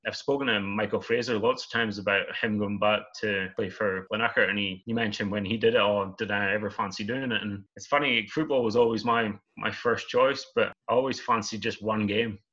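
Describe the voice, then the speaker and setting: A Scottish man from Scotland, a guest chatting in a relaxed, rambling, easygoing way over a dull remote call. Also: on the low side, fairly quick, few pauses. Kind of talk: casual interview chat.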